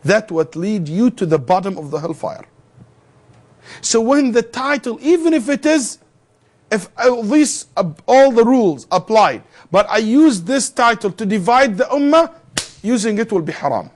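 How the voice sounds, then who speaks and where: A man teaching a theology class, lecturing in an animated way, firing rhetorical questions at students and adding joking asides. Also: high-pitched, moderate pace.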